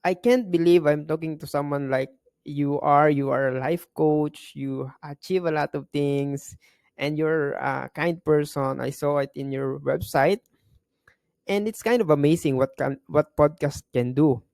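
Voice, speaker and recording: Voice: medium pitch, fairly even intonation, unhurried, vocal fry, darkish tone, no echo. A podcast host from the Philippines, not a native English speaker, interviewing a guest in a hesitant way, with many restarts. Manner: hesitant, with restarts and filler words.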